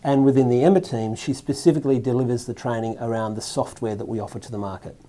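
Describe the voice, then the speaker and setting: A middle-aged man talking through a corporate overview in a mellow, relaxed voice. He stops and restarts often, with plenty of fillers along the way. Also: mid-range voice, quick pace. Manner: hesitant, many fillers and restarts. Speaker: team leader introducing his staff. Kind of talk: corporate video explanation.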